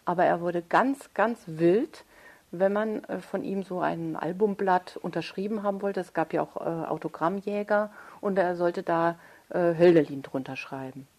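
Mellow, lower-voiced woman in conversation. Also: moderate pace. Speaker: interviewed author. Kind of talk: thoughtful interview conversation.

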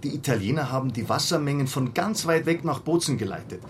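A man's voice: mid-range voice, animated, fast, close to an everyday voice. Guy acting a chatty train informer, probing small talk, radio play, bit of noise behind him.

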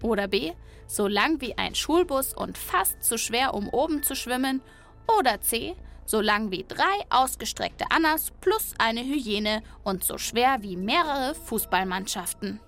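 High-voiced woman hosting for children. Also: animated, measured pace, a bit bright, faint music behind. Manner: playful, addresses young listeners directly. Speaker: host of a children's animal podcast. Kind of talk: lively scripted narration for children.

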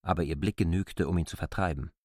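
A man with a husky voice giving an animated narration in a literary audio drama. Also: low-pitched, quick pace, no room sound, studio quality.

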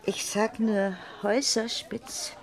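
An actress playing an older gossiping Bavarian peasant aunt, earthy and scolding, in a classic radio play. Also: female voice, on the high side, level tone, takes it slowly, poor audio.

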